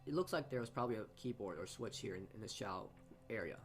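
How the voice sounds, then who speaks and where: A man's voice: fairly low pitch, lively intonation, fast talker, soft background music. A man reading a story game aloud in informal narration, often stumbling and going back over his words. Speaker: player reading a visual novel aloud.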